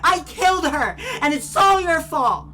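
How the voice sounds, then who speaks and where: A woman's voice: high voice, animated, unhurried, bright, forceful tone, heavily acted, poor audio. A woman acting in an audio drama as a guilt-ridden, frightened young student who is anxious and defensive. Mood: panicked, distraught. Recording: noticeable background noise and ambience.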